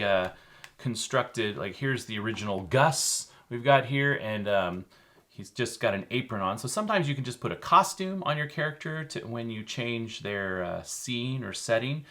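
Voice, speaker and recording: A man co-hosting a children's show, explaining a craft casually in an informal, halting way. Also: mid-range voice, lively intonation, a bit bright. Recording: faint background noise.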